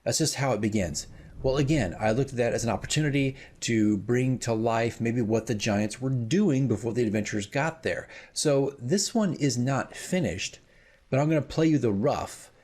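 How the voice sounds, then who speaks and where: A man hosting casual, rambling commentary as a dungeon master, informal and self-deprecating, with a husky voice. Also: medium pitch, moderate pace, darkish tone. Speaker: host, dungeon master, radio drama creator.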